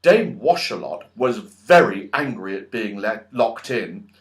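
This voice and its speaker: A man narrating a children's audiobook in an expressive, dramatic and emphatic storytelling style. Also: lowish voice, a little slow.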